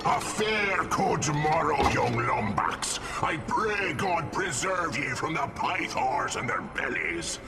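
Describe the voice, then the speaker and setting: A sly villain, set back from the mic under loud music. His swings wildly up and down as he drawls out mock courtesies in video game style. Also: on the high side, sing-song, slow pace, few pauses, distant mic, full character voice. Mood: mock courtesy.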